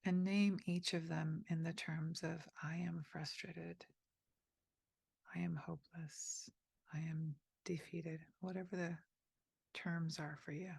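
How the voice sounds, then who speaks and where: A woman guides a meditation in a slow, unhurried voice with a husky, gravelly texture. Her pitch sits on the lower side. The sound is dry and close, with no room around it. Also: flat delivery, no room sound. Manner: slow, soft and gently inviting, with pauses. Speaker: meditation teacher.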